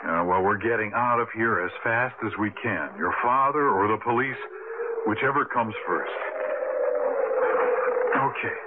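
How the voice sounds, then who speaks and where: An actor playing an earnest young husband with a hesitant, stammering manner in a radio drama. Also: male voice, on the low side, a little slow, long pauses, close to an everyday voice. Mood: uneasy. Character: earnest young husband reassuring his stranded wife. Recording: tinny, very noisy old radio broadcast.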